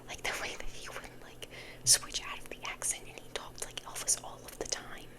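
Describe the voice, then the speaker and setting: A young woman hosting ASMR, rambling casually in a whisper and repeating words and phrases for effect. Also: a little fast. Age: young adult. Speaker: ASMR video host.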